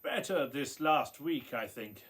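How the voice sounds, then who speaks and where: An actor playing an intense, brooding young gentleman scientist in a radio play, with a theatrical, hesitant delivery. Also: mid-range voice, lively intonation, a little slow, slightly rough. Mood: weary, subdued.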